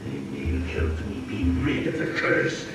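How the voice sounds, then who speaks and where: Brooding game warlord, low expressive voice, gruff, menacing, distant and echoey. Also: male voice, measured pace, full character voice. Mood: stern, decisive. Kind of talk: dramatic video game villain acting. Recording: echoey, distant, under loud music.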